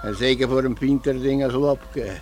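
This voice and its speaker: An actor playing a concerned, earnest village schoolmaster in a Dutch radio play, pleading and regretful. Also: male voice, medium pitch, steady tone, moderate pace, a little mellow, close to an everyday voice. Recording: noisy old radio play recording.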